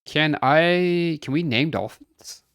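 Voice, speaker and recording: A man streaming a game, chatting casually with friends, laughing often and greeting viewers brightly. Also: a little high, slow pace, slight background noise. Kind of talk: casual livestream chat.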